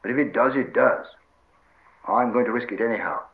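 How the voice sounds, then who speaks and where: A man voicing a gruff, practical, stubborn hobbit servant who talks in homely rustic phrases in a storybook reading. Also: medium pitch, brisk, narrow, tinny audio, lightly acted. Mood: stubborn, scolding.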